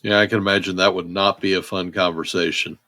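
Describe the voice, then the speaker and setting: A man's voice: deep voice, steady tone, brisk, pushed and bright. A man hosting an interview, asking easygoing, conversational questions. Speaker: podcast host.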